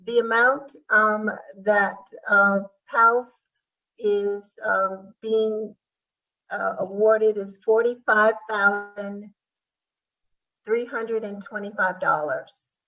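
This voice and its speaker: A woman official explaining things formally and haltingly at a public hearing. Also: medium pitch, fairly even intonation. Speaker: city grants official answering questions. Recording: muffled audio, distant microphone.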